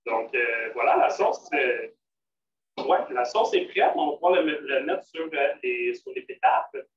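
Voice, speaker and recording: A man's voice: mid-range voice, sing-song, measured pace. A man with a Québécois French accent leading a live vegan cooking demonstration in a casual, filler-heavy way. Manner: casual, many fillers and restarts. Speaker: cook at a vegan restaurant. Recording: roomy, distant, dull, faint noise.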